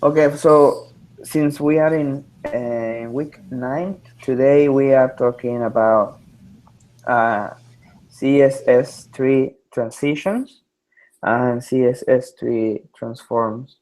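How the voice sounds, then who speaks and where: A man's voice: mid-range voice, fairly even intonation, slow pace, a little mellow, rough recording. A man, presenting to an online study group, hesitant and full of filler sounds. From Mexico. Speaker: student presenter. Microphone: video call.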